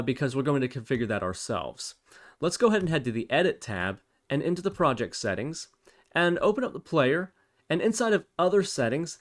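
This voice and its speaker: Man casually narrating a software tutorial. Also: mid-range voice. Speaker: tutorial presenter. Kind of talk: screencast software tutorial narration, informal step-by-step.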